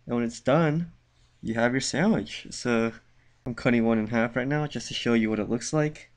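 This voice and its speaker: A young man walks through a simple recipe in a loose, conversational way, dropping "kinda" and "like" as he goes. His voice sits in an ordinary middle register, and he sounds unhurried. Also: mid-range voice. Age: young adult. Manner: laid-back, lots of "kinda" and "like". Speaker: cooking show host. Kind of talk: casual cooking tutorial. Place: cluttered room mid-move, indoors.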